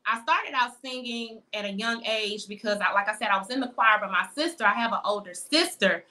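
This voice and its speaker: A woman in her thirties with a high, youthful voice, chatting relaxed in an interview about her singing. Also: brisk, rough recording. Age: 30s. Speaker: interviewed singer. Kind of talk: casual interview answers.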